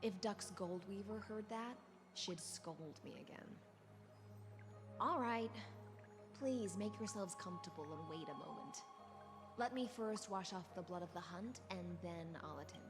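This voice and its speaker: A philosophical warrior noblewoman of the sea, played by a woman in a video game. She speaks formally and with measured, lofty poise. Music plays clearly behind her. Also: lowish voice, natural voice. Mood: composed, courteous. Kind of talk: scripted video game voice acting.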